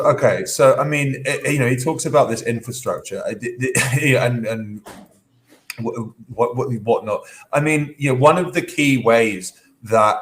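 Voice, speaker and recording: A low-voiced man on a podcast rambles through his points, stumbling over restarts and filler words. He drops scornful asides as he talks. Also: slight background noise, poor audio. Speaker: podcast co-host. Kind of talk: casual podcast commentary.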